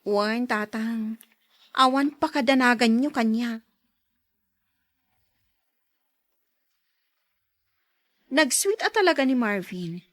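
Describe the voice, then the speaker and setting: A woman acting a young daughter in love, firm in her principles, in an Ilocano radio drama with earnest, expressive delivery. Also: a little high, unhurried, no echo. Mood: sweet, happy.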